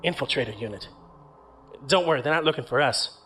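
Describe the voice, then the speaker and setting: A man's voice: medium pitch, lively intonation, brisk, background noise, natural voice. An actor playing a loyal young starship crewman with a casual, reassuring manner in an audio drama. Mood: tense, defensive.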